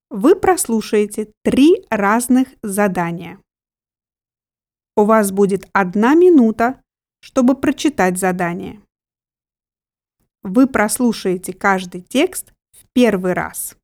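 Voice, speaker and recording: Slow, measured woman reading exam instructions. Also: medium pitch, a little mellow. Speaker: exam announcer.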